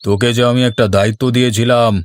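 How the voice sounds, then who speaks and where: A man's voice: mid-range voice, level tone, very fast, a little hiss or hum, close to an everyday voice. A man voicing a covetous rich village boss in a cartoon, calculating and commanding toward his underling. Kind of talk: cartoon voice acting.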